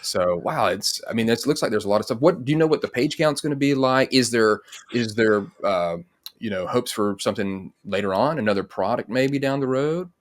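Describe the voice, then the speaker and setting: A man's voice: mid-range voice, expressive, brisk. A man hosting a casual interview over a video call, asking questions and chatting along. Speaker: interviewer, host.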